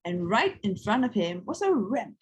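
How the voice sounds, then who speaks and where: A woman reading a children's picture book aloud in an expressive way, as if to young listeners. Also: medium pitch, normal speed, breathy edge. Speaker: read-aloud storyteller.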